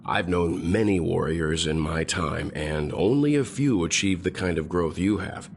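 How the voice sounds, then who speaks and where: An actor playing a calm, seasoned male traveler who speaks steady, measured praise to a younger companion in a game. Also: low voice, gravelly, slightly rough, heavily acted. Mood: encouraging. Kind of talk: game voice acting.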